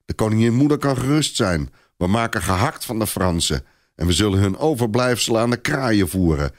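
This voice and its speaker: A man's voice: on the low side, expressive, brisk, voice pushed up, lightly acted. A man voicing a proud, reckless nobleman knight in a storybook reading, boastful and confident. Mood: boastful, mocking. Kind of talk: audiobook character dialogue.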